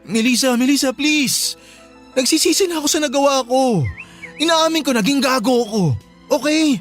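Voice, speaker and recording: A man's voice: very high-pitched, moderate pace, a bit bright, faint music behind. A man with a Filipino accent acting a remorseful, pleading young boyfriend in a radio drama, apologetic and whiny.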